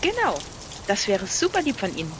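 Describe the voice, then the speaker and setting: A woman's voice: mid-range voice, wildly swooping pitch, a little fast, noisy background. A woman playing as a contestant, talking casually through an interactive game. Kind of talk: casual game play discussion.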